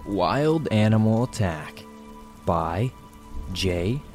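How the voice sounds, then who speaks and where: A man's voice: fairly low pitch, lively intonation, drawn-out words, a little mellow. A man reading a listener's horror story as scripted narration, with faint music and rain behind him.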